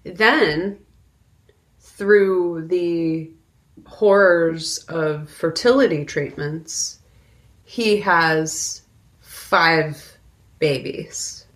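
A woman co-hosting a podcast, talking casually about films and pausing deliberately mid-sentence. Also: on the low side. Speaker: podcast co-host. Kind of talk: casual film discussion.

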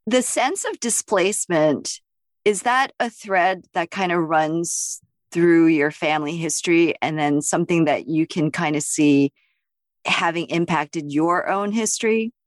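A woman's voice: fairly low pitch, a little slow, no echo, studio quality. A woman hosting a podcast, speaking in a composed interview style. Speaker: podcast host. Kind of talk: scripted introduction and interview questions.

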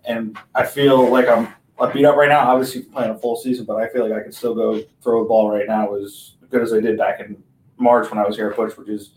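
A man, a guest pitcher, speaking upbeat and agreeable in a casual podcast chat. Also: little pitch movement, brisk, slight background noise. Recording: echoey room, mic at a distance.

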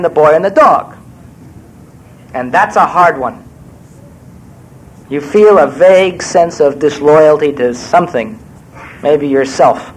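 Wry older New man, slightly high voice. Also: poor audio. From New York. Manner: wry, digressive, self-deprecating. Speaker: screenwriter guest speaker. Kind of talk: Q&A talk to an audience.

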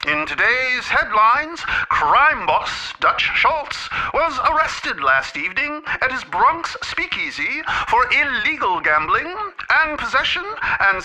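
An actor playing an excitable 1930s radio newsman, reading headlines in dramatic old-time broadcast style. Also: very high voice, heavily acted, rough recording. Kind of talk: 1930s radio news acting.

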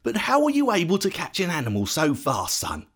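Elderly father, played by a male storyteller, high quavering voice, suspicious, grave, lively swoops, storybook. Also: fairly quick, husky, heavily acted. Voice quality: quavering when upset.

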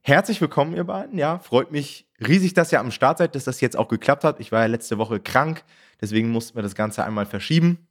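A man's voice: medium pitch, fairly quick. Man, podcast host, friendly interviewer, open questions.

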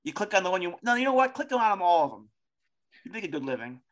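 A man's voice: sits high, sing-song, fast talker, fairly bright tone. A man co-hosting a podcast in casual chat, playful and teasing. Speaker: podcast co-host.

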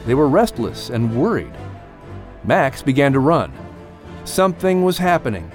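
A man's voice: mid-range voice, big pitch swings, measured pace, soft background music. A man as a theatrical, eager announcer and storyteller for a children's audio drama. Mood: suspenseful.

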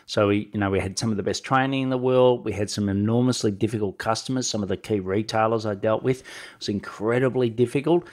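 A man's voice: lowish voice, fast, a little mellow. A middle-aged man with a head cold and a nasal voice, giving conversational advice as a solo podcast host, talking directly to the listener and often checking in with short tag questions. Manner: direct address with frequent tag questions like "right?". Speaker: podcast host and sales coach.

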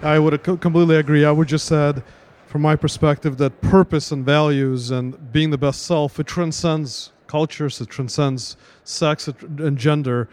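A man on a conference panel, speaking slowly with deliberate emphasis. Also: fairly high pitch, fairly even intonation, a little mellow.

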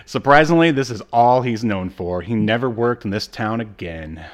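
A man's voice: mid-range voice, moderate pace. An American man co-hosting a casual comedic chat, given to jokey, mock-dramatic asides. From United States. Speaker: podcast co-host.

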